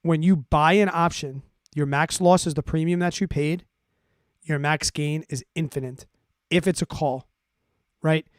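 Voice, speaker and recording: A man's voice: a little high, steady tone, unhurried, dry recording, clean studio sound. A man hosting a finance livestream, explaining things casually and conversationally while checking in with his listeners. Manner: conversational, repeats check-ins like "right?" and "okay?". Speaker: host of a finance livestream answering listener questions. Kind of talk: casual explanatory talk to a live audience.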